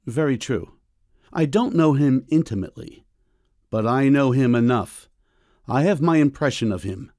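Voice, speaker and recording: A man playing a stern, middle-aged doctor and father in a period audiobook drama, with a measured, formal, coolly ironic manner. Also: medium pitch, expressive, a little mellow, no echo, studio quality, lightly acted. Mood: stern, disapproving. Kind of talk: full-cast audiobook period drama acting.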